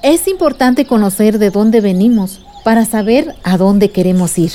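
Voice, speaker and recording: An actress playing a caring aunt in a radio drama, patiently telling family history in a reassuring way. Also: female voice, medium pitch, normal speed, dark tone, natural voice.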